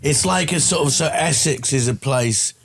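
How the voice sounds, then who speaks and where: A man's voice: on the high side, normal speed, vocal fry, a little hiss or hum. A young man with a London accent, a comedian guest chatting casually on a podcast and telling dry, wry stories. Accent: London English. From England.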